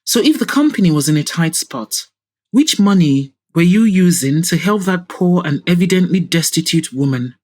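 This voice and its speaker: A woman's voice: fairly low pitch, animated, moderate pace, a little mellow. Woman doing a determined daughter character, cool and polite but pointed, audio drama questioning. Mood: cool, accusing. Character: composed, determined grown daughter questioning a hostile man.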